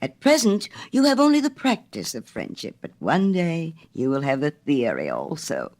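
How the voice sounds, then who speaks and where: A woman's voice: deep voice, huge pitch swings, normal speed, darkish tone, heavily acted. Woman acting a pompous miller, swooping intonation. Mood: smug. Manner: pompous, wheedling. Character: smug, self-righteous male miller, falsely generous friend.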